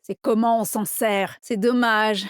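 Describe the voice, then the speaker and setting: A woman's voice: mid-range voice, steady tone, measured pace, clean tone, dry recording, clean studio sound, close to an everyday voice. An actress playing a cocky, teasing female squad leader in a video game, with a confident, brash and punchy delivery. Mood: wry, confident. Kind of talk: video game character voice lines.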